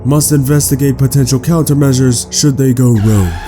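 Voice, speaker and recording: A man's voice: medium pitch, a little fast, warm tone, faint music behind, lightly acted. Man voicing a coldly logical robot scientist villain. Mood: clinical. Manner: clinical, precise, formal, condescending. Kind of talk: scripted audio log monologue, fan-made sci-fi drama.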